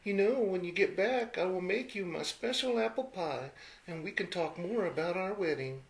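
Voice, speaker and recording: A woman's voice: fairly low pitch, animated, moderate pace, gravelly, rough recording. A woman playing a dainty, lovestruck damsel in a comedy western radio play, melodramatic and sweetly mannered. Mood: adoring.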